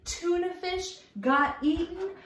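A woman in her thirties hosting a storytime for young children, encouraging and expressive, speaking to a camera a short distance away indoors. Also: very high voice, takes it slowly. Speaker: children's library storytime host. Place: small indoor room, echoey. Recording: echoey room.

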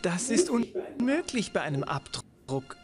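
Loud music sits behind a slow, deliberating male scientist in a video game. He is meticulous and hesitant, searching for words, and his pitch swings widely as he weighs each point. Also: wildly swooping pitch, exaggerated voice. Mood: studious. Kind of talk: German-dubbed video game dialogue.

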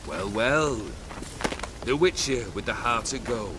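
A man's voice: medium pitch, lively intonation, takes it slowly, noisy background. An actor playing a world-weary, sardonic immortal nobleman swordsman in a video game, with wry, theatrical, archaic phrasing. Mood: sardonic, unruffled. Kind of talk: video game cutscene acting. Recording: game audio over rain and fire ambience.

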